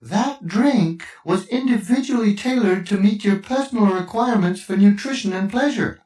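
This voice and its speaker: A man puts on a chirpy ship's computer from a comic sci-fi audiobook. The voice is lifted high and echoes roomily. It delivers polite, bland customer-service patter, eager to oblige. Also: normal speed, darkish tone, lightly acted. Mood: bland, obliging.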